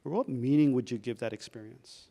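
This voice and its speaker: A man, a doctor leading a workshop, guiding a reflection exercise with slow, calm prompting questions. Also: medium pitch, vocal fry.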